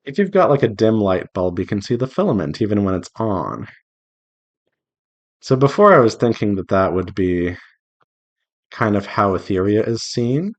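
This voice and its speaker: A man's voice: fairly low pitch, sing-song, moderate pace, long pauses, muffled recording. A man chatting casually and playfully to himself and his viewers while he draws, rambling along as an indie game developer on a devlog. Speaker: visual novel developer streaming while drawing.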